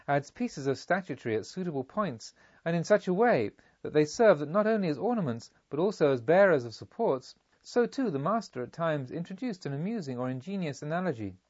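A man reading scripted literary narration in a solemn, deliberate and measured manner. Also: a little high, a little mellow, lo-fi sound. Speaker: audiobook narrator.

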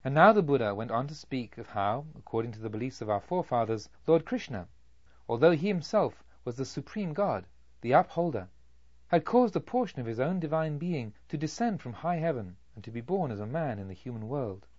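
A man reading literary narration as an audiobook, in a measured, solemn storytelling way with deliberate phrasing. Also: medium pitch, expressive, a little mellow, dry recording, muffled recording. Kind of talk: scripted literary narration of a Buddhist novel.

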